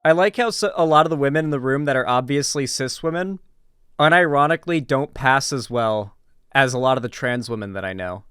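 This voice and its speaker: Male streamer, American accent, clear close-sounding voice with no room sound, blunt informal political commentary. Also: mid-range voice, fairly quick, a bit bright, no room sound. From Florida, United States. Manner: emphatic, opinionated, informal, swearing. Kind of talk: casual reaction commentary.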